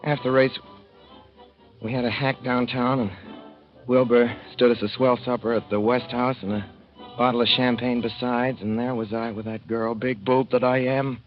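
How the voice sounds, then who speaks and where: A man's voice: medium pitch, little pitch movement, moderate pace, natural voice. Casting: a man as a slangy, lovesick young stable hand narrating, old muffled radio sound with faint music. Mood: wistful. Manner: folksy slangy storytelling, wistful. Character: young male racetrack swipe, earnest and lovesick. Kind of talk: 1930s radio drama narration.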